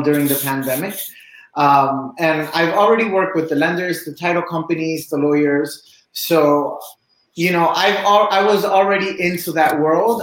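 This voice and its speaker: A young man from Cuba answers interview questions in a friendly, chatty way, with lots of ums. His voice sits fairly high for a man. The room is echoey. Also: fairly bright tone. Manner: upbeat, chatty, many fillers. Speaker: bartender and real estate agent.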